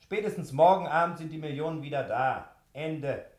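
An actor playing a brisk, self-assured male private detective in a 1960s German radio play, switching between bossy orders and unctuous soothing. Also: fairly high pitch, echoey room, background noise, close to an everyday voice. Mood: brisk, commanding.

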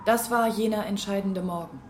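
A woman's voice: medium pitch, steady tone, moderate pace, natural voice. An actress playing an earnest young woman in a literary radio drama. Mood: earnest, emphatic.

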